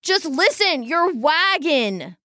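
A woman voicing a young boy in a storybook who gets upset and shouts urgently, gasping for breath. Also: on the low side, lively intonation, takes it slowly, no room sound, studio quality, voice pushed down. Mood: pleading.

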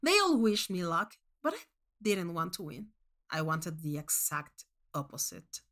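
A woman reading a first-person short story aloud as narrator, in an expressive, dramatic manner. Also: fairly low pitch, unhurried.

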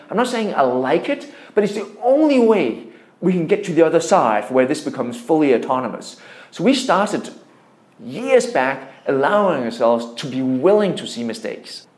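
Echoey, higher-voiced Danish-accented man. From Denmark. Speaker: tech startup founder being interviewed. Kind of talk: explanatory interview talk. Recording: echoey room.

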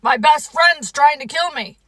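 A woman voicing an excitable, anxious, boastful male toad in a children's storybook, with an exclamatory, theatrical delivery. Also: sits high, slow pace, dry recording, far from the mic, voice pushed up, full character voice. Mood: grumpy, alarmed.

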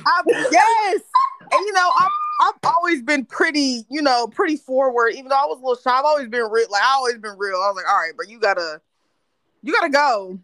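A woman's voice: high-pitched, animated, fairly quick, bright, forceful tone, rough recording. A woman from North Carolina telling a candid story in a casual chat with friends, laughing often. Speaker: podcast host.

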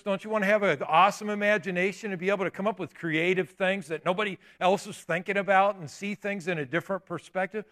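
A man in his late fifties preaching a sermon in a church, with emphatic declarations and quieter asides. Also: high-pitched. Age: 50s. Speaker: pastor. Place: church sanctuary.

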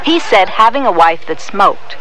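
Actress as a frazzled housewife, bright voice. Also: female voice, medium pitch, animated, normal speed, lightly acted, rough recording. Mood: rueful, resigned. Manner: conversational, confiding. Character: frazzled, chatty housewife who smokes, resigned. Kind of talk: acted dialogue in public service spot.

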